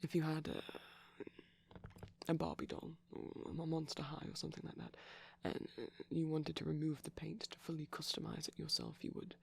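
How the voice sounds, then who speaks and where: A man speaking in a soft, breathy ASMR ramble, often slipping into a whisper, gentle, shy and hesitant, close to the microphone. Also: on the high side, big pitch swings, moderate pace, dry recording. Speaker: ASMR performer.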